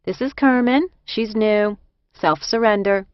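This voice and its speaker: A woman voicing a brisk, no-nonsense woman inmate showing a newcomer around, in audiobook dialogue. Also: mid-range voice, no room sound, lo-fi sound, voice pushed up, natural voice. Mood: brisk, firm.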